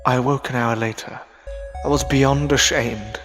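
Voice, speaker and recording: A man acting a grieving, ashamed Victorian widower in an audio drama, speaking in a melancholy, halting way with sighs. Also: medium pitch, fairly even intonation, over music, natural voice. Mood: reflective, sombre. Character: grieving, ashamed Victorian widower in his twenties or thirties, self-reproaching. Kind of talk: audio drama acting with first-person narration.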